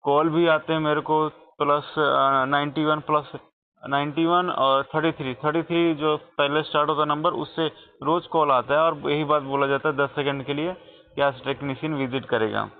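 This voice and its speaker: A man's voice: on the high side, fairly even intonation, normal speed. An Indian man making a complaint as a customer on a phone call, speaking in an insistent, repetitive, aggrieved way. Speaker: customer calling support with a complaint. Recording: tinny phone call recording.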